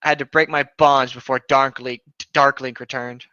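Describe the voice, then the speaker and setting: Male co-host, forceful clear tone, casual story reading and banter, slightly dull dry recording. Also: mid-range voice, level tone, moderate pace, no echo. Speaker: co-host of a comedic fan fiction reading show. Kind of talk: casual read-aloud with commentary.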